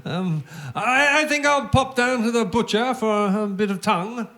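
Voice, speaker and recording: Meek, flustered henpecked husband. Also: male voice, very high voice, normal speed, background noise. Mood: nervous. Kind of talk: live comic radio play.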